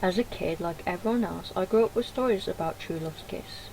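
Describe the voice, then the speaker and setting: A woman's voice: lowish voice, brisk, vocal fry, mellow. A woman reading game dialogue aloud with casual commentary, in a noisy home recording. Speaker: gaming video creator.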